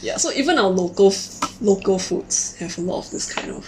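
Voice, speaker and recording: A young woman with a Singaporean English accent chats casually as a co-host, filling her explanations with "like" as she goes. Her pitch sits in the middle range. Faint noise hums in the background. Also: animated, moderate pace. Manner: chatty and explanatory, many "like" fillers. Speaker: podcast co-host. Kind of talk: casual chat.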